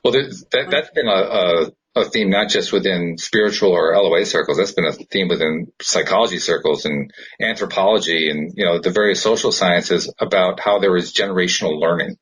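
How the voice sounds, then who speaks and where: Guy co-hosting a podcast, lowish husky voice, thinks out loud, casual and explanatory. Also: brisk, few pauses, low-quality recording. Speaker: podcast co-host. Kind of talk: casual reflective conversation.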